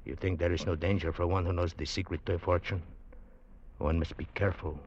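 An actor playing a secretive Mexican ex-revolutionary with a Mexican Spanish accent and halting English, in old-time radio drama style. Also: male voice, low-pitched, fairly even intonation, darkish tone, slight background noise, lightly acted. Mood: guarded. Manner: conspiratorial, halting non-native English phrasing. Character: secretive Mexican ex-revolutionary fugitive plotting gold recovery. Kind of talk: 1940s-50s radio drama acting. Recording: muffled vintage radio recording.

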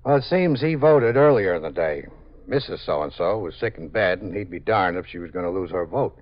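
A man's voice: sits low, lively intonation, normal speed. A man with an American accent playing a tired, wry detective lieutenant with an easygoing manner in a 1950s radio drama. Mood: wry, amused. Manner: easygoing, anecdotal, deferential. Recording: muffled 1950s radio recording.

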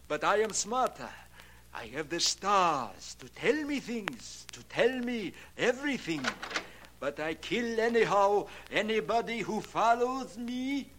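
An actor playing an unhinged, bitter foreign-accented astrology fanatic, theatrical and in broken English, in a 1940s radio melodrama. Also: male voice, sits high, big pitch swings, slow pace, fairly bright tone, slight background noise, exaggerated voice. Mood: menacing. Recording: vintage 1940s radio recording.

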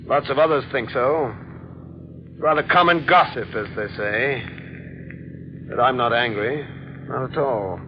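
A muffled old radio recording with faint noise holds a man acting as a dangerous jealous husband. His medium-pitched voice stays courteous and clipped, with mocking calm and menace in the 1940s radio manner. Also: unhurried, long pauses, close to an everyday voice. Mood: quiet menace. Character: coldly polite, menacing jealous husband with a gun. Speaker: radio drama actor.

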